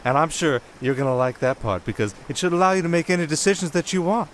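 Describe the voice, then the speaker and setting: A man playing a breezy, smooth-talking young nobleman in a fantasy audio roleplay, chatty and eager to flatter. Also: a little high, fast talker, a little hiss or hum, lightly acted. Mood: pleased. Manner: chatty, ingratiating.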